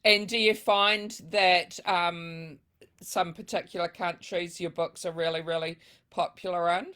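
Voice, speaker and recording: A woman's voice: fairly low pitch, fairly even intonation, measured pace. A woman hosting a book club interview over a video call, reading out audience questions and giving short, encouraging replies. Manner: soft, encouraging, many short affirmations.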